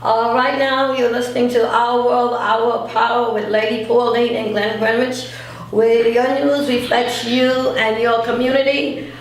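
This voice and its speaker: Higher-voiced woman host in echoey room. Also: level tone, unhurried. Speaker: radio show host. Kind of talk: conversational interview and station announcements. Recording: echoey room, distant mic, faint noise.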